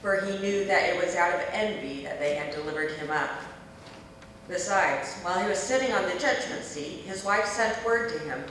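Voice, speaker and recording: A woman's voice: fairly low pitch, normal speed, a bit bright. Woman reading scripture in a cavernous hall. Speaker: scripture reader. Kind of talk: dramatic scripture narration. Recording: echoey church hall, distant mic, faint noise.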